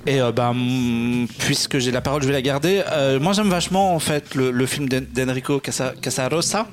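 A man's voice: mid-range voice, moderate pace, a little hiss or hum. A man co-hosting a podcast, giving a casual, slangy film review and often searching for his words. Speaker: podcast co-host reviewing films.

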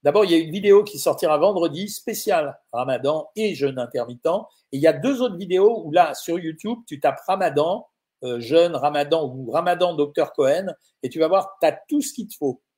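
Blunt casual nutritionist, higher voice. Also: male voice, expressive, a little fast, darkish tone, breathy edge. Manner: informal, blunt, uses tu with viewers, frequent euh. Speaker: nutritionist answering viewer questions. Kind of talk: casual live Q&A, reading out comments.

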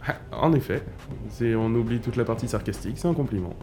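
Young male gang member acted, audio drama. Sarcastic, rambling, resentful, soft tone with big pitch swings. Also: expressive, slight background noise, lightly acted. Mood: dry, mocking. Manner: sarcastic, rambling, drawn-out sentences.

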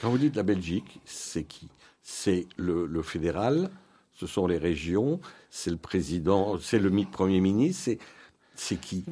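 A man with a low-pitched voice hosts an interview. He talks informally and haltingly, reworking his phrasing as he goes. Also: expressive, dark tone, slightly rough. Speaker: interview host.

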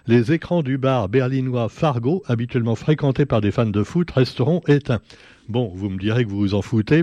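A man reads through the local papers on radio, mocking the headlines with ironic asides and mock dialogues. His voice sits at an ordinary middle pitch and the studio sound is close and dry, with no room echo. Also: mellow, dull audio. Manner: sarcastic, ironic, with rambling asides. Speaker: radio press reviewer. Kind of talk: joking press review commentary.

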